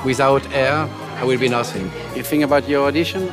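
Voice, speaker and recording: A man's voice: mid-range voice, fairly even intonation, a little fast. A man in his sixties from France with a French accent, chatting playfully on stage in broken English. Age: 60s. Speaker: performer, ventriloquist. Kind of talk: casual stage chat. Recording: loud crowd and music behind.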